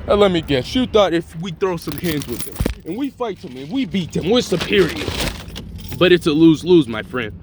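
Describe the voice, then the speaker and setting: A man's voice: fairly high pitch, sing-song, moderate pace. A man voicing a scornful Russian mercenary commander in a put-on Russian accent, lecturing with condescension over traffic noise.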